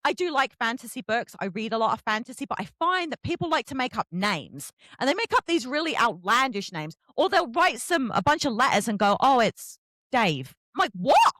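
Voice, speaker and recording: A woman's voice: fairly high pitch, big pitch swings, brisk, fairly bright tone, no room sound. A woman from Kent, chatting casually and effusively as she hosts an interview, often joking. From Kent, England. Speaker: book podcast host interviewing an author.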